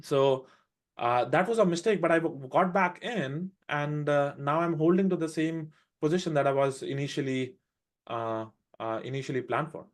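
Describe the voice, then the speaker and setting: A man with an Indian accent, raised in India, walking through his trades on a live trading show with quick, animated explanations. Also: on the high side. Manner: fast, animated explaining with restarts. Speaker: day trader presenting a trade recap.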